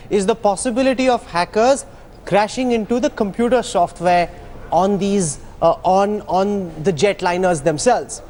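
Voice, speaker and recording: Male news anchor, Indian accent, high voice, probing and dramatic delivery, faint background noise. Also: darkish tone. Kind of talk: broadcast news interviewing.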